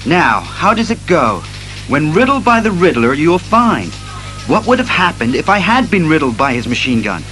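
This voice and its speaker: An actor playing an earnest, methodical masked detective hero who reasons aloud in a declamatory audio drama style. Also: male voice, fairly high pitch, big pitch swings, pushed and bright, faint music behind. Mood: earnest, resolute. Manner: deliberate, declamatory deduction.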